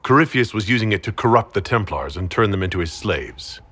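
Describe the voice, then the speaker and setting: An actor playing a wry, teasing leader of a fantasy order in a video game, with a dry, understated manner. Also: male voice, fairly low pitch, animated, a little fast, fairly bright tone, slightly rough. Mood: serious.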